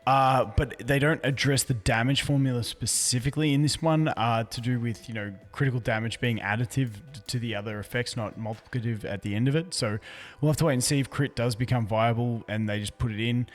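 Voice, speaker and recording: A man with a hoarse, fading voice, talking casually through a game's update notes as a YouTuber. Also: medium pitch, a little fast, in a large hall. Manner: chatty and easygoing, restarts words often. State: hoarse, losing his voice. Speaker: gaming YouTuber. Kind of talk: casual game news commentary, reading patch notes.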